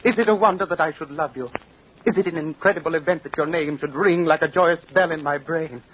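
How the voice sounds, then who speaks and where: An actor playing an ardent, bookish young suitor in an old radio melodrama, speaking with earnest, poetic declamation. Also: male voice, fairly high pitch, a little fast, natural voice. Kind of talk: vintage radio melodrama acting. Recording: muffled, noisy old radio recording.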